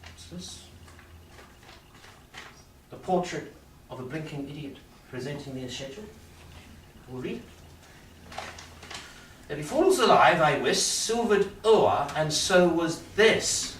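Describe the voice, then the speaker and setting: An actor playing a pompous, self-satisfied prince in a Shakespearean staged reading, declaiming with deliberate dramatic pauses. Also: male voice, a little high, lively intonation. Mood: dismayed, rueful. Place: live staged reading in a reverberant room. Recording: echoey room from far off, faint noise.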